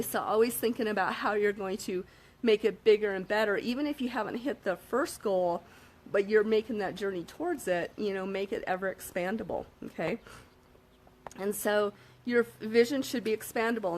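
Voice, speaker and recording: A woman with a slightly hoarse voice, giving a casual motivational talk to camera and checking in with her listeners often. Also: mid-range voice. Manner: upbeat, frequent "okay?" check-ins and fillers. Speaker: self-help vlogger.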